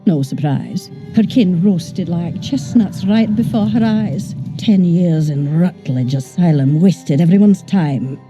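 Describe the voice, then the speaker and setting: Grasping, dark-toned British crone. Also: female voice, on the low side, slow, few pauses, faint music behind, low-quality recording. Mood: bitter, self-justifying. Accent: British working-class. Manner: wheedling, bitter, self-pitying. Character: grasping, coarse, scheming older British nurse. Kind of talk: scripted video game acting.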